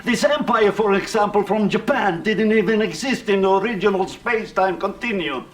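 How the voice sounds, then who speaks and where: A man's voice: sits high, normal speed, natural voice. A man acting as a frantic defecting Soviet scientist in a video game, pleading urgently.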